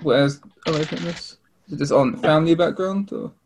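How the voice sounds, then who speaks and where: Gravelly man in casual game chat. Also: fairly high pitch, normal speed, darkish tone. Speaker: player in a tabletop game.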